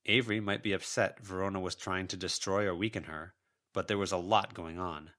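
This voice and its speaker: A man's voice: deep voice, steady tone, a little fast, bright, forceful tone. A man narrating a fiction audiobook from a written text. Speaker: audiobook narrator, volunteer reader.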